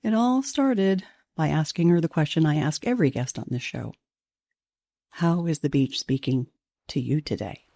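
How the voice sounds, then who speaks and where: A woman hosts a podcast, opening with a gentle, reflective introduction before easing into conversation. Her voice is low and mellow in tone. The recording is dry and close. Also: lively intonation, moderate pace, dry recording. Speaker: podcast host.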